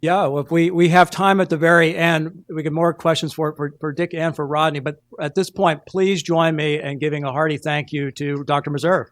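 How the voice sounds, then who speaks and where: A man's voice: a little high, fairly even intonation, normal speed, few pauses, slight background noise. A middle-aged man moderating a conference, chattily introducing the next speakers from a podium. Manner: chatty, repeating words. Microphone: podium mic.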